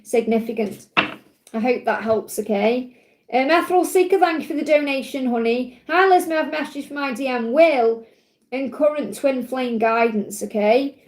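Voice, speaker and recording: High-voiced Stoke woman reading tarot. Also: a little mellow. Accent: Stoke-on-Trent English. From England (Stoke-on-Trent). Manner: soothing, reassuring, with frequent "okay?" check-ins. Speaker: tarot reader on a livestream. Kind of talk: live card reading answering viewer questions.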